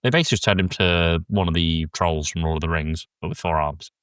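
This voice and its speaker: A man with a fairly low voice hosts a casual film chat. He rambles loosely and sounds a little congested, as if sick. The recording is close and dry, with little room sound. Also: fast talker, fairly bright tone, smooth, no echo. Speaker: podcast host.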